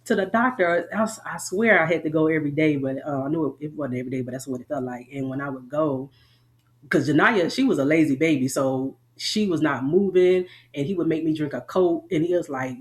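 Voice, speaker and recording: A woman's voice: deep voice, a little fast. Middle-aged woman, Southern US accent, candid veteran interviewee who rambles. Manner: rambling, frank, laughs often. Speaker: army veteran guest.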